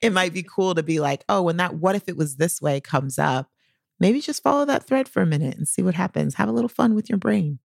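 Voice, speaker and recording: A woman's voice: lowish voice, lively intonation, fairly quick, gravelly, no room sound, clean studio sound. An American woman co-hosting a podcast, chatting informally and with enthusiasm. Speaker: podcast co-host. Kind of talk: casual podcast chat.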